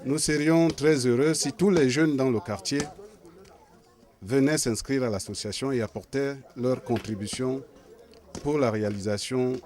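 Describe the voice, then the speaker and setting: A man with a Cameroonian French accent making a formal public appeal as a local resident, speaking slowly and deliberately. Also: mid-range voice, a little mellow. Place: outdoors in a neighborhood.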